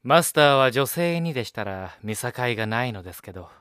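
An actor playing a dutiful, courteous young cafe assistant in an audio drama, with a gently teasing manner. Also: male voice, mid-range voice, lively intonation, a little fast, clear, resonant tone, no echo, clean studio sound, natural voice. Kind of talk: Japanese audio drama acting.

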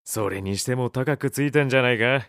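An actor playing a blunt, impatient younger man with rough colloquial speech in an audio drama. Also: mid-range voice, fairly quick, fairly bright tone, no room sound, studio quality, natural voice. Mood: dry, impatient. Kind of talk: Japanese audio drama acting.

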